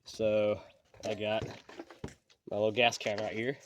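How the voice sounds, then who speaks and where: A man's voice: lowish voice, flat delivery, unhurried, slight background noise. A man hosting his channel, walking through a repair step by step in a plainspoken, folksy way, sounding sniffly from allergies. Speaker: channel host. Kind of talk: casual how-to repair walkthrough.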